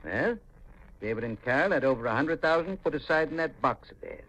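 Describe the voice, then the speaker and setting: Husky, scheming rural American uncle. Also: male voice, medium pitch, expressive, brisk, a bit bright. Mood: scheming, insistent. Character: scheming, greedy rural uncle, brusque and dismissive. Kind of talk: 1950s radio mystery acting. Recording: dull, lo-fi 1950s radio recording.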